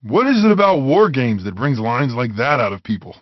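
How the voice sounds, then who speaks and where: A man's voice: mid-range voice, sing-song, moderate pace, dry recording, muffled recording, lightly acted. A man voicing a big, brawny, good-hearted video game bad guy, wisecracking, boisterous and blunt, in compressed handheld game audio. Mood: wry. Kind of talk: video game character voice clips.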